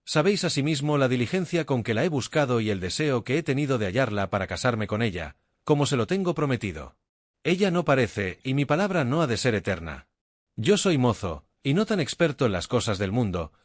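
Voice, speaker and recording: A man's voice: mid-range voice, sing-song, no room sound, studio quality. A man reading the lines of a young noble duke who speaks formally and with self-assurance. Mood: courtly. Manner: formal, measured speech.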